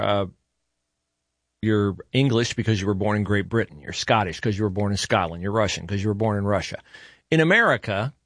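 A man's voice: lowish voice, fairly bright tone, no room sound, studio quality. A man hosting a talk radio show, speaking in a conversational, opinionated monologue with frequent fillers and self-corrections. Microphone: studio mic.

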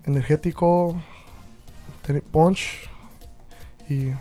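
A man in his twenties from Indianapolis, a band's lead singer, talking in an excited, slangy way in an interview. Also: on the high side, very slow, faint music behind. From Indianapolis, USA. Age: 20s. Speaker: band singer. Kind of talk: casual interview.

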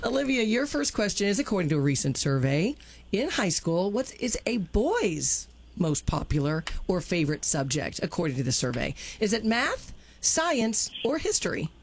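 A woman co-hosting a studio show and running a trivia game, talking with lively banter and laughing often. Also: medium pitch, normal speed, slightly rough.

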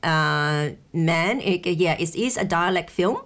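A woman's voice: on the low side, slow pace, fairly bright tone. A woman in casual conversation, explaining history patiently with many restarts and fillers. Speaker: guest discussing a film.

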